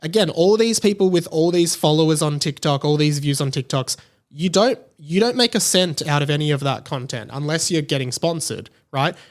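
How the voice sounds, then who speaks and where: Male podcast host, slightly high-pitched, conversational and rambling monologue style. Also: moderate pace. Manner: rambling, conversational, often tags points with "right?". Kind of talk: casual solo podcast monologue.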